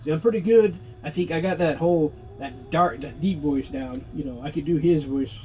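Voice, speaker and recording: A man casually commenting as he plays an old computer game, relaxed and thinking aloud. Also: on the high side, moderate pace, poor audio. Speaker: gaming channel host. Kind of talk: casual let's-play commentary.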